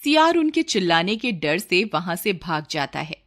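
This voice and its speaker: A woman's voice: on the low side, sing-song, normal speed, fairly bright tone. A woman storyteller reading a scripted moral tale as narration.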